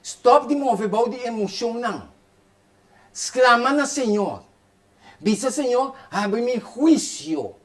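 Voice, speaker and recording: High-pitched preacher guy, fast and fired up, keeps repeating himself. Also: lively intonation. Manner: fervent, rapid preaching with repeated phrases. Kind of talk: sermon.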